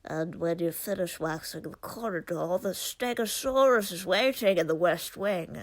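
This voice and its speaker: A woman's voice: on the low side, moderate pace, lightly acted. A woman voicing a smug, pedantic museum director in a comic fan dub. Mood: smugly amused.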